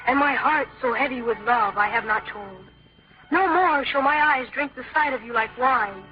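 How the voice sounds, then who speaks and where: A man's voice: extremely high pitch, normal speed. A young male actor playing an earnest, bashful, hesitant thirteen-year-old boy in an old-time radio drama. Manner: hesitant, eager. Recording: dull old radio recording.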